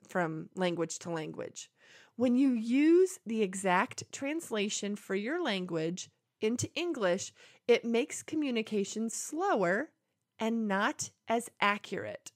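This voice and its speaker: A woman teaching English learners, explaining tips clearly and deliberately, step by step. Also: mid-range voice, no echo, studio quality. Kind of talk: language lesson to camera.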